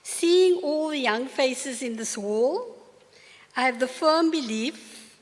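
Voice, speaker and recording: An older woman with a South African accent giving a formal acceptance speech in a large university hall, measured and earnest. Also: fairly high pitch. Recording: long reverb of a large hall.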